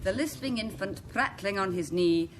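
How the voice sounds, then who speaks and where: A woman on a panel game, quick-witted and self-mocking as she bluffs a definition. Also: medium pitch, expressive, a crowd in the background.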